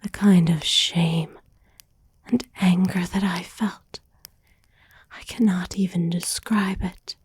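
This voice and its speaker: A woman playing an obsessive, wounded, possessive girlfriend in an intimate, halting, pleading ASMR whisper. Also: fairly low pitch, fairly even intonation, breathy edge, heavily acted. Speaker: ASMR voice actor. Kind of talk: whispered ASMR roleplay monologue.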